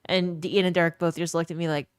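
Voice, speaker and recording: Woman, lowish voice, smooth, dry close sound, slight fry at phrase ends. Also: flat delivery, fast, no room sound. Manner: chatty, rambling, laughs often, thanks viewers by name. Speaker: streamer. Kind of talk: casual live-stream chat.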